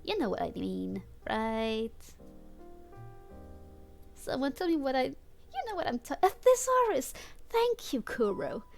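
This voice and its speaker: A woman's voice: high-pitched, big pitch swings, a little slow, vocal fry, long pauses, faint music behind. A woman whose first language is not English, streaming a fan fiction reading with amused, theatrical delivery and casual asides.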